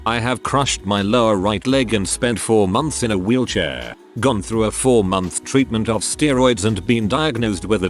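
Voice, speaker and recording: A man narrating online posts aloud in an expressive, animated way. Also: lowish voice.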